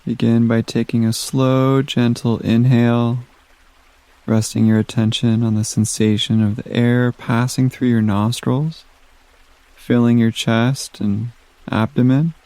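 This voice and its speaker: A man leading a guided meditation in a gentle, soothing manner, giving soft instructions with long pauses. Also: mid-range voice, little pitch movement, dry recording.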